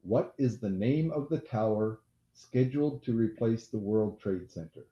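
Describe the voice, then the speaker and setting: A man hosting a casual live-stream quiz game who has a loud voice, repeats each question and apologizes during asides. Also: on the low side, lively intonation, unhurried. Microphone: laptop mic. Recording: echoey room, dull lo-fi laptop audio.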